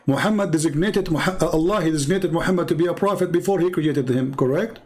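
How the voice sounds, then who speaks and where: A man's voice: on the high side, quick pace, darkish tone. An English-speaking man hosts a religious debate, pressing his opponent with confrontational, repeated demands. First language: not English. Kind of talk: live religious debate, cross-examination.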